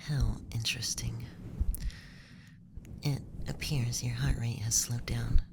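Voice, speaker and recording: A man voicing a cool, analytical alien scientist in a whispered ASMR roleplay, measured and detached with a sly teasing streak. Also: medium pitch, flat delivery. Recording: loud background noise and ambience.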